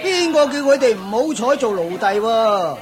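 An actor playing a brash, callous slave auctioneer in a radio play, pushy and mocking. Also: male voice, very high-pitched, big pitch swings, moderate pace. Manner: pushy, mocking, sells loudly. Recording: market crowd sound effects behind. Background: crowd murmur.

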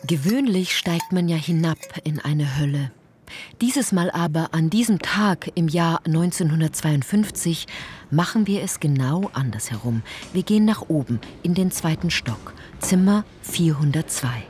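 An actress playing a wry, probing narrator and investigator in a radio drama, speaking in a dry, insistent way. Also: female voice, sits low, lively intonation, unhurried, darkish tone, soft background music. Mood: wry, ironic.

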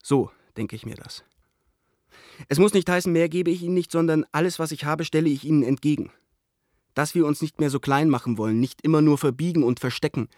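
A man's voice: on the high side, fairly quick, darkish tone, no room sound, studio quality, close to an everyday voice. An actor in a radio play voicing an earnest, idealistic man who reads his rousing letter with emphatic declamation.

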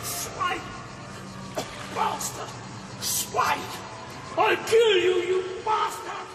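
An enraged officer in a radio play. His voice is very high and explosive, shouting from a distance with echo and heavy noise around it. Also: fairly even intonation, drawn-out words, a crowd in the background, full character voice. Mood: furious. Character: enraged, wronged military officer. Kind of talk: radio drama acting. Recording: echoing, distant, very noisy background.

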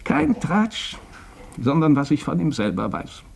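An actor playing a candid, worldly doctor in a radio drama, speaking in a confiding, insistent way with rhetorical questions. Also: male voice, fairly high pitch, wildly swooping pitch, measured pace, vocal fry, a little mellow, slight background noise, heavily acted. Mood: grave, earnest.

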